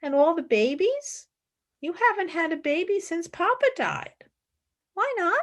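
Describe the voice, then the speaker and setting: A woman acts out a ten-year-old girl in a radio play. The voice is high and swoops up at questions. She is blunt, childlike and keeps probing. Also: sing-song, a little slow, full character voice. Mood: curious, probing.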